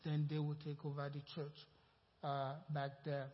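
A man's voice: on the high side, completely flat delivery, normal speed. A man with a Nigerian accent, speaking as a pastor to his congregation in a rambling, conversational way. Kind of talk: informal sermon announcement. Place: church sanctuary. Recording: muffled church hall sound with long reverb.

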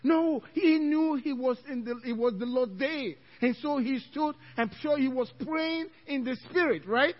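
A man's voice: very high voice, measured pace, few pauses. A middle-aged Nigerian pastor with a Nigerian accent, preaching an evening sermon with emphatic scripture quotes and chuckling asides to his congregation. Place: church sanctuary. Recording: dull lo-fi church recording.

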